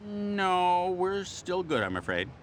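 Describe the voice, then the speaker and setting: A man's voice: high voice, big pitch swings, takes it slowly, background noise, heavily acted. A man voicing a peevish yet gung-ho superhero sidekick in a cartoon game, grumbling and melodramatic. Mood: matter-of-fact. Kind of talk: cartoon video game acting.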